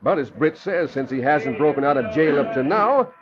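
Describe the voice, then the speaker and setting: A man's voice: on the high side, moderate pace, close to an everyday voice. An American actor playing a genial, confident middle-aged prosecutor and father in a 1940s radio drama. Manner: jovial, animated. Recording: muffled old radio, busy background.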